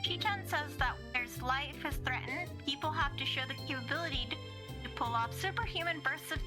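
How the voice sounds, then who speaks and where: A woman voicing a cheerful, pushy high-school girl in a playful visual novel read-through. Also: a little fast, lightly acted. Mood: playful, teasing. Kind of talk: amateur voice acting of visual novel dialogue. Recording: music behind the voice. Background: music.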